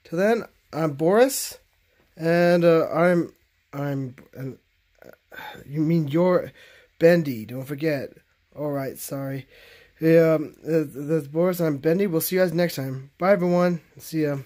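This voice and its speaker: A man hosting a casual improvised plush puppet skit, with halting, repetitive delivery. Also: on the high side, darkish tone. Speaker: host of puppet reaction videos. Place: carpeted bedroom.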